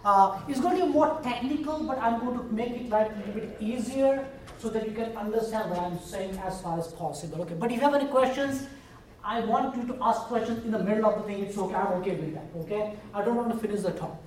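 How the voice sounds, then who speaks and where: A man's voice: high voice, fast, a little hiss or hum. Indian-accented man lecturing in a hall. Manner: chatty and self-deprecating, with fillers and jokes. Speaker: biology professor. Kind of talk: university lecture. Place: lecture room. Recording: roomy hall, heard from far.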